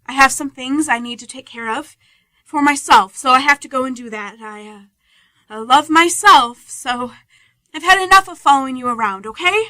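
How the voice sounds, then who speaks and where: Helen is a timid young woman who hesitates and stumbles over her words, speaking in a high, bright voice, as a woman acts her out. The radio play sounds close and dry. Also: moderate pace, no echo. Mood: hesitant. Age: young adult. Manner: hesitant, flustered, easily hurt. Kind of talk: amateur radio play acting.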